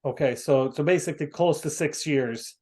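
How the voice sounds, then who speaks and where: A man from the United States hosting a casual video call, talking haltingly with many fillers and restarts. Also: fairly high pitch, darkish tone. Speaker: host.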